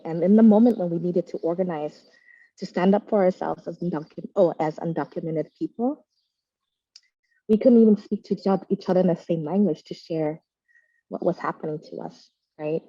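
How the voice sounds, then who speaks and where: A woman whose first language is Vietnamese, telling a personal story quickly and earnestly on a video call. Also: on the low side, mellow, dry recording, muffled recording. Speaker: panelist.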